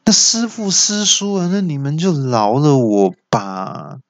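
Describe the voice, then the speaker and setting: A man playing a jittery, untrained young male apprentice with a shrill, whiny voice. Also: very animated, moderate pace, no echo. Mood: panicked.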